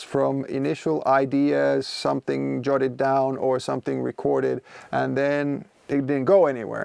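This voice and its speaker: Finnish-accented man, non-native English, relaxed livestream chat, rough fry-heavy voice, dead dry room. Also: medium pitch, no echo. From Finland. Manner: rambling, conversational, many fillers and restarts. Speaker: music producer streaming from his studio. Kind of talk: casual livestream chat, answering viewers. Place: home music studio.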